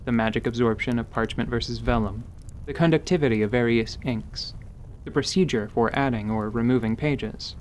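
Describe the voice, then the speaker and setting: A man's voice: medium pitch, moderate pace, a little mellow, a little hiss or hum. A man narrating a scripted fantasy audio drama as its storyteller.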